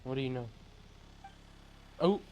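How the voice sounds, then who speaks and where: A man's voice: mid-range voice, animated, a little slow, darkish tone, slight background noise. A man streaming a video game, giving offhand, muttered reactions in casual commentary. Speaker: gaming streamer. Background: game music.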